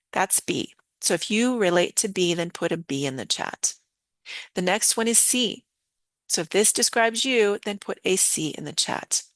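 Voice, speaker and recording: A woman with a slightly hoarse voice, calmly explaining ideas and speaking straight to her viewers in a live online lesson. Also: medium pitch, no room sound, poor audio. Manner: calm, explanatory, addresses viewers directly. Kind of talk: teaching a live online session.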